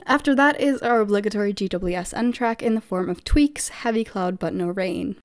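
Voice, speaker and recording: A woman's voice: mid-range voice, brisk. A woman giving a scripted, opinionated voice-over about her fan picks for a music group. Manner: opinionated, chatty, fan-enthusiast delivery. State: recovered from losing her voice. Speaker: K-pop fan video creator.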